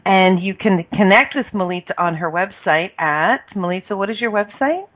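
A woman's voice: medium pitch, normal speed. A woman hosting a casual interview, effusive and gushing, who laughs often. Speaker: podcast host. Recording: tinny phone line.

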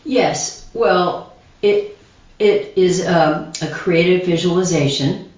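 A woman guest speaker explaining meditations calmly, in a slow, gentle, reflective way. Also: fairly low pitch. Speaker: guest speaker, shamanism teacher. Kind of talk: calm guided explanation. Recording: distant echoey dull video call audio.

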